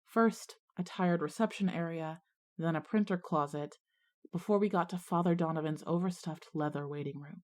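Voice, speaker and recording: A woman's voice: on the low side, moderate pace, darkish tone, lightly acted. A woman playing a resourceful, take-charge schoolgirl who both narrates and speaks in an audio drama. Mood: suspenseful narration. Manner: decisive, organizing. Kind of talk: audio drama dialogue and first-person narration.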